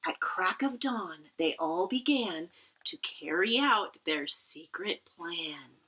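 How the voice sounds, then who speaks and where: Woman, children's storybook read-aloud, expressive, bright voice, unhurried pace, dramatic emphasis. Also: fairly low pitch, breathy edge, low-quality recording. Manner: animated, dramatic emphasis with playful asides. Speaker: teacher reading a picture book aloud.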